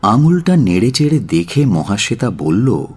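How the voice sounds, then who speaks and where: A man narrating a scripted audio story as its presenter, with a measured, theatrical delivery. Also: fairly low pitch, very animated, a little mellow, breathy edge. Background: music.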